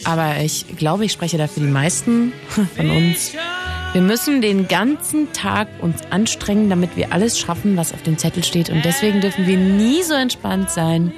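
A woman's voice: lowish voice, lively intonation, moderate pace, music in the background. A woman hosting a radio call-in show, chatting casually with a playful, teasing, informal manner. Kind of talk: casual late-night phone-in chat.

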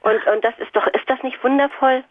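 Eine Frau in ihren Fünfzigern aus Berlin ruft in einer Radiosendung an und erzählt überschwänglich, stockend, mit vielen Füllwörtern. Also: female voice, high voice, steady tone, no echo. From Berlin, Germany. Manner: effusive, halting, many fillers. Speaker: radio caller. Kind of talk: phone-in chat. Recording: tinny phone line.

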